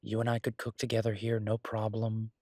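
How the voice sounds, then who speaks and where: Man acting as easygoing chef boyfriend, husky voice, dry close sound, halting casual speech, earnest, intimate roleplay audio. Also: lowish voice, monotone, a little mellow, no room sound. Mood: hopeful, practical. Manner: halting, casual, reassuring, trailing pauses. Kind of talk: intimate roleplay audio drama, casual one-sided conversation.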